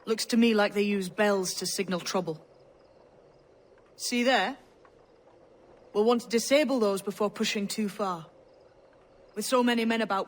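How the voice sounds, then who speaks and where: An actress playing a scrappy young pirate who passes as a lad, blunt and defiant, in a video game. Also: female voice, medium pitch, slight background noise, lightly acted. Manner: blunt, streetwise. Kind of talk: cinematic video game acting.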